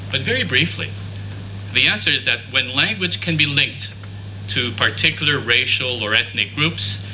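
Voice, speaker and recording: A man whose first language is French delivers a formal conference lecture in a university hall. His speech is measured, with the occasional restart. The recording carries steady background noise. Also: mid-range voice. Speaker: conference speaker. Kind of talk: academic lecture. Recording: noisy conference room recording.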